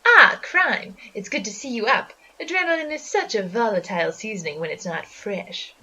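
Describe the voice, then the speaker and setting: A woman's voice: medium pitch, lively intonation, normal speed, pushed and bright, breathy edge, highs cut off. A woman playing a menacing, gloating spider monster in flapper guise, theatrical and taunting, in a 1920s gangster-style audio drama. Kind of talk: 1920s gangster slang radio drama acting.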